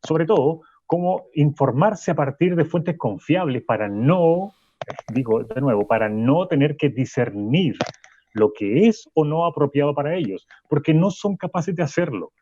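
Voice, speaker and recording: A man plays a self-important sociology professor, lecturing down to his listeners. The voice is slightly high, husky and mellow. The recording is dull and dry, as in a small studio. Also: expressive, unhurried, dry recording. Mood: lofty. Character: pompous, condescending male professor, self-assured. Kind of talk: radio drama acting.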